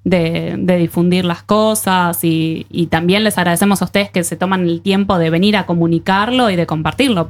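A woman with an Argentine accent hosting a show in a casual, colloquial and encouraging conversational way. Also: lowish voice. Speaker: radio show host.

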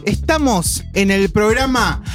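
A woman hosts a radio show with an excitable, stammering delivery. Her pitch swings widely and she laughs between words. Music plays clearly underneath her. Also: slow pace. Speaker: radio host. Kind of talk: casual radio chat.

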